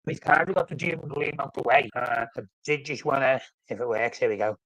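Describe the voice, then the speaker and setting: A man's voice: mid-range voice, brisk, a bit bright, no echo, rough recording. A man with a flu, sounding snuffly, hosting a casual live-stream chat about his football club and rambling with frequent self-corrections. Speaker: fan channel host.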